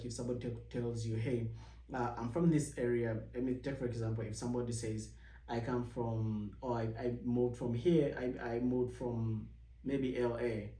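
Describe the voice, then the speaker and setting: A young man from Uganda talks through his experiences in a relaxed, rambling way, often restarting his sentences. His mellow voice sits a little back from the mic in an echoey room, and it jumps higher whenever he mimics someone asking him a question. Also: far from the mic. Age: young adult. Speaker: vlog host. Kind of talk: casual personal storytelling.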